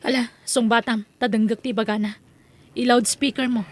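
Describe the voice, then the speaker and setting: A woman's voice: a little high, fairly even intonation, moderate pace, lightly acted. A woman playing a nosy, indignant character in an Ilocano audio drama, with an Ilocano accent. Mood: suspicious, prodding.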